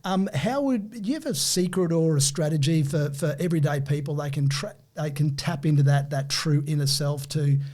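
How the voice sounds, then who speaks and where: A man's voice: a little high, normal speed, few pauses, darkish tone. A man hosting a podcast interview in an upbeat, enthusiastic way. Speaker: podcast host.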